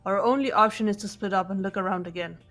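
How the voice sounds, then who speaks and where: A woman voicing a game character in a fan dub, steady and matter-of-fact. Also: mid-range voice, fast talker. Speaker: player voicing several characters. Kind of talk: fan voice acting of a game script. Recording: faint music behind. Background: faint game music.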